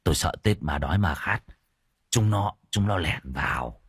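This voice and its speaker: A man's voice: a bass voice, expressive, moderate pace, fairly bright tone, breathy edge, no room sound, clean studio sound, pitched below the performer's own voice, full character voice. A man voicing a sheepish, bumbling village sorcerer who hesitantly makes excuses in a story.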